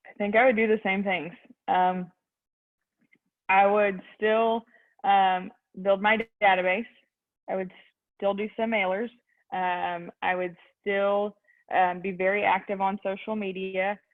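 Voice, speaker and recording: A woman's voice: mid-range voice, level tone, unhurried. A young adult woman with a Texan accent, a real estate agent answering interview questions in a youthful voice, earnest and full of ums. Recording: tinny phone-like line.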